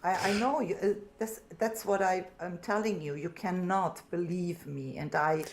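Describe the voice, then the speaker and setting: A woman in her fifties with a Serbian accent, calling in to a livestream and earnestly telling her story in halting, non-native English. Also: lowish voice, steady tone, slight background noise. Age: 50s. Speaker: caller. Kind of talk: livestream call-in debate. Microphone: remote call audio.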